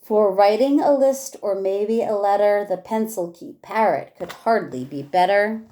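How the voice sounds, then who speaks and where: A woman teacher reading a rhyming picture book aloud in a measured way, with casual asides. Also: mid-range voice, mellow.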